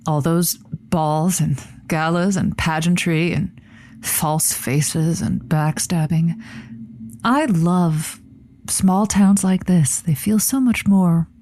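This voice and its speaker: An actress playing a glamorous, boastful radio love-advice host with a grand, affected delivery in an audio comedy. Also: female voice, fairly low pitch, slow, a little mellow. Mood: grand, self-important. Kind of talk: scripted audio comedy acting.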